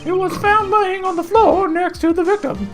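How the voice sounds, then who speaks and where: A woman's voice: very high voice, fairly even intonation, moderate pace, faint music behind, voice pushed up. A woman voicing a fussy, smug male prosecutor in a video game trial, with affected, pedantic delivery. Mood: officious.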